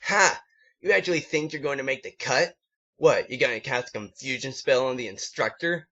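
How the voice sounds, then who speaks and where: A man's voice: medium pitch, normal speed, pushed and bright, voice pushed up. A man voicing a smug young pony bully from a story, with a taunting, sneering manner. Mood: mocking. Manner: taunting, mocking. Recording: dull lo-fi home recording.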